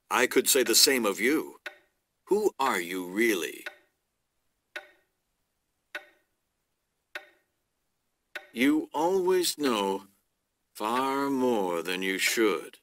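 A man playing a stern, calculating middle-aged lord in a video game, measured and authoritative. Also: mid-range voice, big pitch swings, fairly bright tone, slight background noise. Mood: wry suspicion. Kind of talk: video game cutscene acting.

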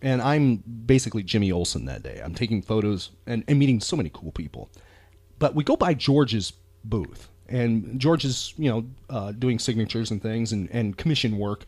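A man hosting a casual talk show, speaking earnestly and haltingly, often repeating words as he goes. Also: fairly low pitch, animated, a little mellow, no echo. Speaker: podcast host.

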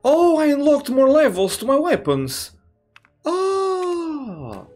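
Slightly raspy man doing gameplay commentary. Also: very high voice, sing-song, takes it slowly, a little mellow, faint music behind. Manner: swears often, thinks aloud, reacts with surprise. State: slightly raspy from just waking up. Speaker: gamer playing a horror game. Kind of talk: casual let's-play commentary.

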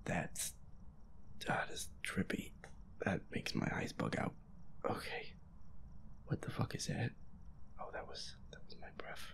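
A gamer mutters through a horror game in a hushed, half-whispered voice with plenty of gravelly fry. The delivery is nervous and hesitant, peppered with swearing. It sounds like breathy, private commentary on a ghost hunt. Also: medium pitch.

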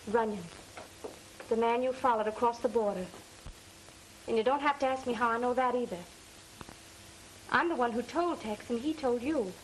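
Highish earnest western woman played by an actress. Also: moderate pace, long pauses, close to an everyday voice. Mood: earnest, insistent. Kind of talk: old western film acting. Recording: faintly noisy old film soundtrack.

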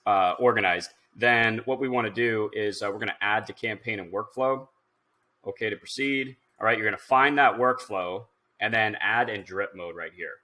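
Man, thirties, lowish pitch, bright punchy tone, casual tutorial presenter, informal, thinking out loud. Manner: informal, instructional, thinking aloud as he goes. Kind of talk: casual screen-share software tutorial.

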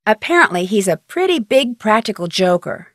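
A woman's voice: mid-range voice, sing-song, moderate pace, a bit bright, no room sound, studio quality. An actress playing a polite, inquisitive young American woman detective in a video game, friendly and matter-of-fact. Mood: earnest, puzzled. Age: young adult. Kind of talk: video game voice acting, phone dialogue.

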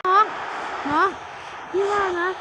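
A man voicing a young male cartoon thief, a frantic big brother who keeps shouting warnings to his little brother. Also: a little slow, noisy background, natural voice. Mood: urgent. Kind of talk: cartoon voice acting.

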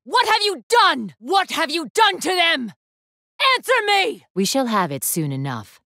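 A woman's voice: high-pitched, very animated, unhurried, gravelly, bright, forceful tone, no echo, clean studio sound, exaggerated voice. A woman playing an imperious young student council leader in an anime fighting game, commanding, haughty and formal with steely resolve. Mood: furious, battle cry.

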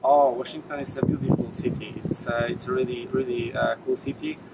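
A young man with a Polish accent gives a testimonial in a mid-pitched voice. He sounds a little away from the mic, outdoors with clear noise behind him. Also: flat delivery, fairly quick. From Poland. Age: 20s. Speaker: summer work participant, lifeguard. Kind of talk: on-camera testimonial. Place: outdoors by swimming pool. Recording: distant mic, noisy outdoor pool area.

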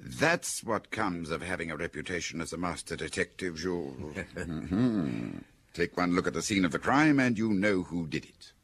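Deep, husky, wry confidant. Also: male voice, expressive, moderate pace, fairly bright tone, heavily acted. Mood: wry amusement. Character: dry, probing male confidant of a detective. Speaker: actor in a radio drama. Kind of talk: radio drama acting, intimate dialogue.

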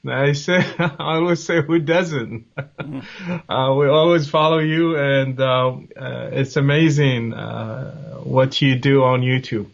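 A man's voice: medium pitch, steady tone, slow, vocal fry. A man in his forties from Morocco, answering interview questions over a video call from a home office, friendly and hesitant with many fillers. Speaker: guest. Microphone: webcam video call. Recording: dull lo-fi video call audio.

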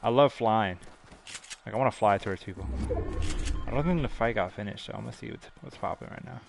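A young man streaming a game from a room, giving excited, slangy commentary and thanking viewers for donations while his voice goes hoarse. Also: on the low side, brisk, background noise. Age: young adult. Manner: hype-driven, slangy, thanking donors between plays. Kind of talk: live gameplay commentary with chat shout-outs. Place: indoor gaming room.

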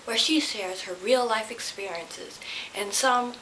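A young woman chats to her camera, telling a story in a casual, emphatic way. Her voice is fairly high and bright, and she sounds a little flustered. A faint hiss runs under the home recording. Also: measured pace, low-quality recording. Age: young adult. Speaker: vlogger. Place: small bedroom, indoors. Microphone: camera a short distance away.

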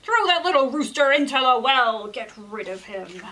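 A greedy storybook king with a short temper, acted out by a woman. The voice is high and forceful, barking imperious orders with loud emphasis. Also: very animated, moderate pace, full character voice. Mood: angry commanding. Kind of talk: dramatic children's storybook reading.

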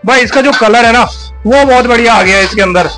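A man's voice: very high-pitched, a little fast. A man hosting a casual food vlog on location in a restaurant, talking in an enthusiastic, repetitive way. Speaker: food vlogger, host. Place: inside a busy restaurant and its kitchen. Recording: music under location speech. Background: music.

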